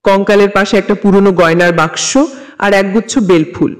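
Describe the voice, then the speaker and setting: A man's voice: high voice, steady tone, normal speed. A man reading a scripted first-person horror story in a dramatic, suspenseful storytelling manner.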